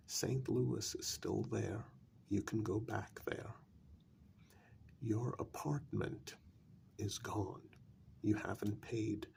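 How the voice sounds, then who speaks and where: Vampire envoy, played by a man: lowish, heavily fried soft speech, deliberate and frank, for an intimate ASMR role-play. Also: slight background noise, heavily acted. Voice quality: gravelly, breathy soft voice. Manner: slow, deliberate, with long pauses and firm emphasis.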